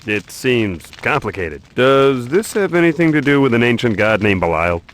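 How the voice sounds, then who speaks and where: A man's voice: mid-range voice, sing-song, normal speed, slight background noise, natural voice. A man playing a plain-spoken young adventurer in fantasy video game dialogue. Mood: curious, wary.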